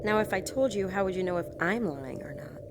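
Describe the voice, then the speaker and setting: A woman's voice: medium pitch, animated, brisk, lightly acted. An actress playing an uneasy woman driving alone at night, telling her strange story in a hesitant, reflective way for an audio drama. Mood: wary, polite. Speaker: lead actress narrating in first person. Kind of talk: dramatic audio fiction monologue and dialogue. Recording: clear vehicle and road ambience noise.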